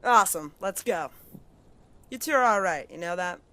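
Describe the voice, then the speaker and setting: A woman's voice: on the low side, lively intonation, moderate pace. A woman playing a brash, tough wasteland wanderer who talks bluntly and curses. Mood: friendly. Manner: blunt, cursing, sharp-tongued.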